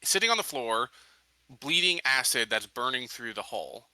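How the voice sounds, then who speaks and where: Very bright, forceful-voiced man. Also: mid-range voice, expressive, normal speed, clean tone, dry recording, low-quality recording. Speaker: tabletop game player. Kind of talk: casual game table chat.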